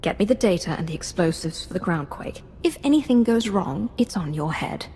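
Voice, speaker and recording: An actress playing a cold, commanding dark-side Sith in a video game, imperious and menacing, giving clipped orders. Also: female voice, lowish voice, lively intonation, a little fast, slight background noise. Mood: cold menace.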